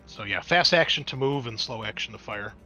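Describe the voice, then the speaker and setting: Man, game master, bright forceful voice, casual rules talk, medium pitch, music behind. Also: expressive, a little fast, low-quality recording.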